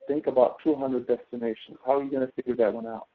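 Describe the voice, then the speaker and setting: A man's voice: medium pitch, little pitch movement, quick pace. A man tutoring step by step through a spreadsheet task, explaining and often checking that the listener follows. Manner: explanatory, often checks understanding with tag questions. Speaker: instructor, tutor. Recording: narrow tinny phone-like line.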